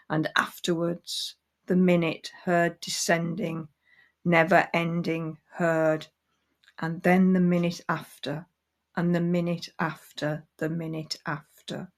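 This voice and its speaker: A woman sharing and reading poetry in an informal, homemade style. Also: low-pitched. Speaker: guest poetry reader. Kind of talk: casual chat and poetry reading.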